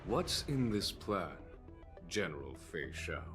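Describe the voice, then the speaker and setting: A slow, deep-voiced calm general. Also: male voice, music in the background, heavily acted. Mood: measured. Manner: measured, diplomatic. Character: calm, courteous male general, unhurried and shrewd. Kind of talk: video game voice acting, story dialogue.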